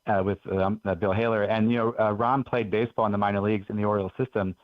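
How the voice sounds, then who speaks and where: A man's voice: fairly low pitch, steady tone, fast talker, no echo. A man in his forties, from Belgium, telling stories as a guest author in an earnest, enthusiastic way on a radio interview. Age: 40s. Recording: dull lo-fi radio audio.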